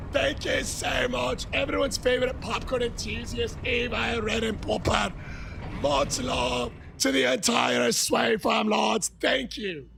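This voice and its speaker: Hoarse, gravelly Irish streamer. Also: male voice, extremely high pitch, slight background noise. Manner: excitable, bursts into shouting while thanking viewers. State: hoarse, losing his voice. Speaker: gaming livestreamer. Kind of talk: casual live-stream chat.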